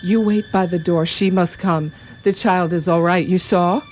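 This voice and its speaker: A woman's voice: lowish voice, fairly even intonation, a little mellow, soft background music, lightly acted. A woman in a radio drama playing a solemn, commanding older male professor, speaking in formal, inverted phrasing. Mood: grave, instructive. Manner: formal, deliberate, inverted foreign-style phrasing.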